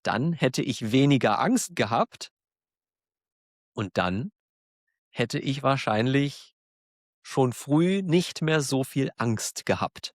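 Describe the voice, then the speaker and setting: A man with a German accent, hosting a podcast for learners, talking slowly and clearly in a warm, encouraging way and laughing now and then. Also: mid-range voice, lively intonation, dry recording, clean studio sound. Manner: encouraging, self-deprecating, laughs often. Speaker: German teacher, podcast host. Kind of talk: slow, clear monologue for learners.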